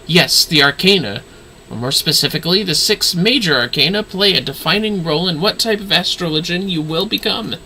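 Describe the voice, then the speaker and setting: A man acts out a stern, earnest young astrologian from a fantasy video game. The voice is fairly high and very bright, with formal, measured, instructive phrasing and a protective streak. Faint noise sits behind it. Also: poor audio. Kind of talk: dramatic read-aloud of fantasy game dialogue.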